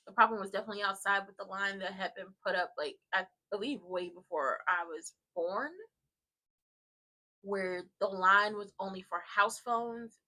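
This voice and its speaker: A congested woman chats loosely and rambles to her live-stream audience from home. Her voice is bright and forward, and her tone stays casual and conversational throughout. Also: mid-range voice, rough recording. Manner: rambling and chatty, addresses viewers directly. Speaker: live-stream host of a soap watchalong.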